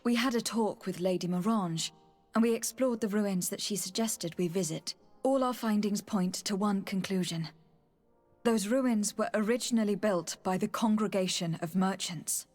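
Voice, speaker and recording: An actress playing a caring young noblewoman and envoy in a video game, speaking in an earnest, measured, formal way. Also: medium pitch, a little mellow, soft background music, lightly acted. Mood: matter-of-fact. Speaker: voice actor. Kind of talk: video game cutscene dialogue.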